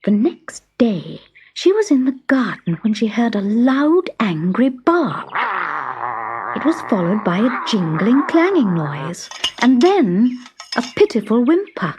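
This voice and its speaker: A woman reading a children's story aloud in an expressive, measured storytelling manner. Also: fairly high pitch, a little hiss or hum. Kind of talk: scripted children's story narration.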